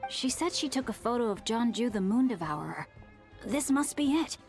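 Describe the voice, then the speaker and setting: A woman's voice: a little high, very animated, normal speed, soft background music. A woman voicing a serious, inquisitive young woman in a fantasy video game, with a reflective manner. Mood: thoughtful. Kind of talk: game voice acting.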